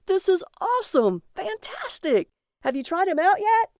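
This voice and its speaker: A woman's voice: medium pitch, measured pace, gravelly, darkish tone, smooth, no room sound, clean studio sound. A woman voicing a childishly enthusiastic, easily hurt husband with a boyish, whiny manner in a story reading. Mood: gleeful.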